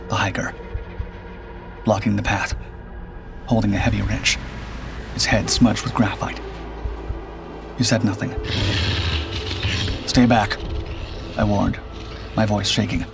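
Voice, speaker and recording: Low, creaky male horror narrator. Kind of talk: scripted first-person suspense narration. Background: background music.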